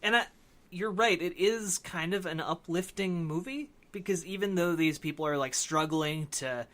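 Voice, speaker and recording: Man, podcast co-host, fairly high pitch, sharp bright tone, halting self-corrections, casual discussion.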